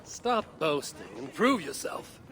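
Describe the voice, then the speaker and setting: A man's voice: a little high, very animated, takes it slowly, fairly bright tone, full character voice. A man playing a bold, competitive, teasing Viking warrior in a video game. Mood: proud, challenging. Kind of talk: video game cutscene dialogue.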